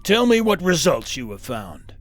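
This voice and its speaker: A grand alien overlord played by an actor in a satirical sci-fi radio play. The voice sits at a middling pitch and swings widely up and down, pompous and authoritative, full of breezy confidence. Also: big pitch swings, moderate pace, soft background music, natural voice. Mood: breezy, confident. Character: grand alien celestial supervisor, commanding but breezily optimistic.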